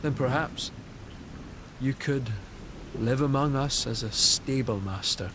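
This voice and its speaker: A man playing a bitter, scornful young prince bent on vengeance, speaking in a cold, deliberate, mocking way in an audio drama. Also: mid-range voice, background noise, lightly acted. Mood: cold menace. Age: young adult.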